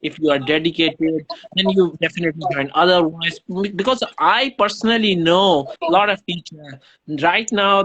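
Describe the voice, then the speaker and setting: A man's voice: fairly high pitch, fairly bright tone, poor audio. A man with a Pakistani accent hosting a casual livestream interview in an effusive, repetitive, wordy way. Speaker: live stream host.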